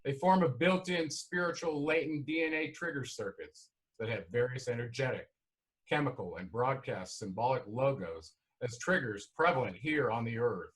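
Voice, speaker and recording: A man's voice: medium pitch, moderate pace, natural voice, low-quality recording. A man playing a lofty, self-assured alien god who lectures in a formal, philosophical manner in a screenplay read-through. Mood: reasoning, lofty.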